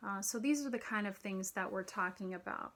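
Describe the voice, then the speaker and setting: A middle-aged woman giving a recorded lecture from a room at home, speaking deliberately with frequent ums and the occasional throat clearing. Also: mid-range voice, fairly bright tone. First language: English. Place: home room with children's drawings on the wall.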